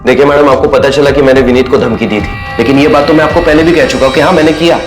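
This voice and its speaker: A man's voice: medium pitch, fairly even intonation, rapid-fire, close to an everyday voice. An actor playing a defensive, street-wise small-time crook protesting his innocence in a TV crime drama. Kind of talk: Hindi TV crime drama acting. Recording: loud dramatic music behind.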